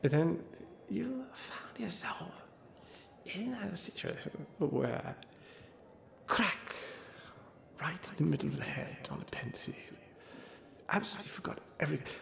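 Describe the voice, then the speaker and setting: A man's voice: on the high side, very animated, unhurried, vocal fry, long pauses, a bit bright, full character voice. A man playing a befuddled old wizard who mumbles and rambles through tall tales in a comic ASMR roleplay, trailing off into muttered asides. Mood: befuddled reminiscing. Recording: roomy echo, faint background noise and ambience.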